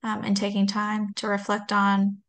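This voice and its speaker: A young woman speaks softly through a webcam mic on a video call. Her delivery is flat and monotone, with a gravelly vocal fry running through it. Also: medium pitch, normal speed. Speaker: webinar co-host reading the chat. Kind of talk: casual webinar remarks.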